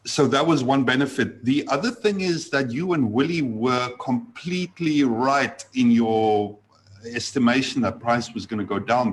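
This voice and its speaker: A man talking as a guest trader on a video call, halting, with many fillers and restarts. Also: mid-range voice, slight background noise, poor audio. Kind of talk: casual video call chat.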